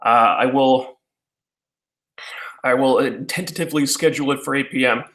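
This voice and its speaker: A man, chatting casually with his viewers in a halting way, full of fillers and restarts. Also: medium pitch, steady tone, fairly bright tone, echoey room. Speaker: YouTuber answering viewer questions. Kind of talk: casual rambling chat.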